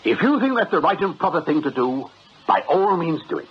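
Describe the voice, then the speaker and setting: Casting: slippery British boss, breezy and mock-polite, very swooping delivery, muffled radio-play sound. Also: male voice, on the high side, big pitch swings, brisk. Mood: blandly confident. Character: jovial, slippery male agency boss plotting crime. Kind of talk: British radio comedy-drama acting. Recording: muffled radio drama recording, faint hiss.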